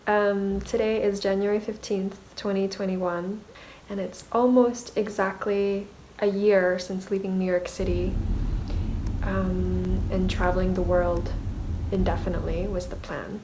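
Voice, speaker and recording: A woman's voice: medium pitch, little pitch movement, unhurried, noisy background. A woman whose native language is Mandarin, speaking in a reflective, self-conscious and hesitant video diary as an artist vlogger.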